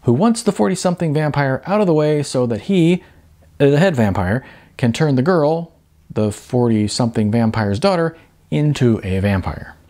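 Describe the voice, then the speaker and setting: A man with a husky voice, an author reading their own novel aloud in an expressive storytelling manner. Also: mid-range voice. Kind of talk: audiobook-style narration of prose.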